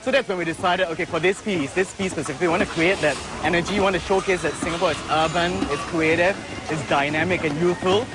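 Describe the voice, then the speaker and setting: Man troupe leader, quick and friendly, outdoor show with music. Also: sits high, fairly even intonation. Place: outdoors by a fountain.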